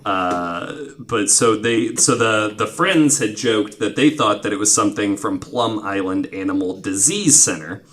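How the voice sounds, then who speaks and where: Low-voiced young man hosting casually. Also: measured pace, hardly any pauses, fairly bright tone. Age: 20s. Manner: informal, sweary, peppered with "like". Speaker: podcast host. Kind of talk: casual conversational storytelling.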